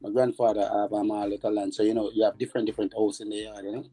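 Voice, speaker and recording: A Jamaican former footballer answering interview questions, halting and repeating words as he recalls his childhood. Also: on the low side, level tone, mellow, breathy edge.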